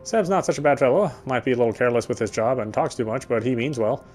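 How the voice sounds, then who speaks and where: A guarded young man with a dry wit and a quiet soft spot, voiced by a man at an everyday middle pitch. He muses to himself in a wry, introspective way in this horror game, with a faint low rumble underneath. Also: fast talker, natural voice. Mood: reflective. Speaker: game protagonist. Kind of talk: first-person inner monologue and dialogue.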